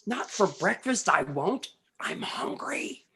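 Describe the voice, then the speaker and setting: A woman's voice: mid-range voice, big pitch swings, slow pace, vocal fry, bright, forceful tone, husky, exaggerated voice. A woman playing a folksy, superstitious male sailor in a radio play, wary and plain-talking. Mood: sheepish. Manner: folksy, gosh-and-lordy country talk. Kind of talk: radio play acting, 1940s style.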